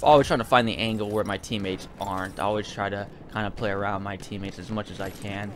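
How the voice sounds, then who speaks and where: Male gamer, lowish voice, casual rambling commentary, hesitant and repetitive, light background noise. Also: fairly even intonation, fast. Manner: rambling, hesitant, repeats phrases. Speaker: video game streamer and commentator.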